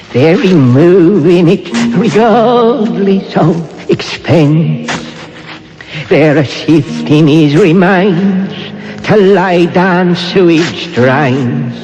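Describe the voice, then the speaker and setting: High, slow male comic singer. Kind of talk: comic British music-hall style novelty singing. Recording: lo-fi old record, loud band music.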